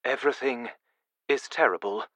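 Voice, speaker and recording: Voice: mid-range voice, moderate pace, a bit bright, dry recording, lightly acted. A reader voicing an earnest, troubled grown man, the King of a country in a storybook.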